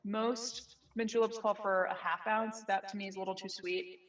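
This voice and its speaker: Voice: medium pitch, steady tone, moderate pace, a bit bright, distant mic, lo-fi sound. A young adult co-host in their twenties, casually walking others through a cocktail and bossing them between steps, with some swearing. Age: 20s. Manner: bossy, instructive, swearing. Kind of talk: casual chat while making a drink.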